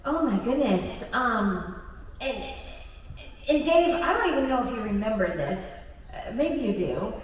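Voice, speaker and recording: Lively woman on a convention panel, echoey hall. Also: a little high, measured pace, far from the mic, a little hiss or hum. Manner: animated storytelling, earnest. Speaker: panel guest, voice actor. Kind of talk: panel anecdote. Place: large convention stage hall.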